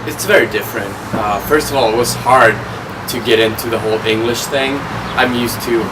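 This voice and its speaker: A man from Sweden, a student giving plain, halting interview answers. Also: mid-range voice, steady tone. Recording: distant mic, loud noise.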